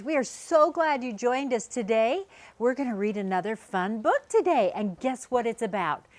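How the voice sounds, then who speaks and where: A woman hosting a read-aloud, telling a children's picture-book story with expressive, deliberate phrasing for young listeners. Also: a little high, dry recording.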